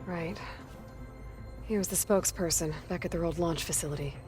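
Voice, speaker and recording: A woman plays a determined young heroine in a video game. Her voice is on the low side, with a pensive, direct and questioning delivery. Music is clearly audible behind her. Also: moderate pace, natural voice. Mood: pensive, probing. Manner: direct, plain-spoken questioning. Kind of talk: scripted video game dialogue.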